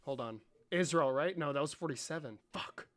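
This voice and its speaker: A man hosting a livestream, talking casually and mockingly with lots of swearing, jumping between loud outbursts and mumbles. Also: fairly high pitch, normal speed. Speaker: livestream host. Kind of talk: casual livestream chat and debate.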